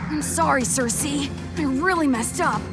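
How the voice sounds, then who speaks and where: A woman voicing a plucky, earnest young boy hero in an animated game, boyish and exclamatory. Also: high voice, unhurried, loud music behind the voice. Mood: remorseful.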